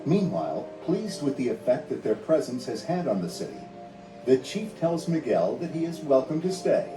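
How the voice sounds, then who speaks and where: A man narrating a scripted storybook read-along in a measured, dramatic storytelling manner. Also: medium pitch, very animated, reverberant, recorded from a distance, over music, low-quality recording.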